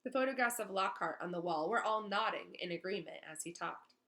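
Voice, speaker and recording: A woman narrates a children's novel in an expressive storytelling style. Her voice sits at a middle pitch with a very bright, forceful edge. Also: brisk. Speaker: read-aloud storyteller.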